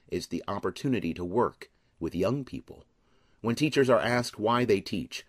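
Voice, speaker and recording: A man reading a personal letter aloud in an earnest, measured, deliberate way. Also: fairly low pitch, no echo, studio quality. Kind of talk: scripted reading of a heartfelt letter.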